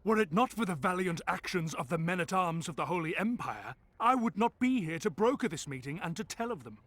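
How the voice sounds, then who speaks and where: A man's voice: high-pitched, animated, brisk, fairly bright tone, no echo. A man playing an earnest, formal peace envoy in a fantasy video game, speaking in a declamatory way. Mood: earnest appeal. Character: earnest, formal male envoy brokering peace between nations.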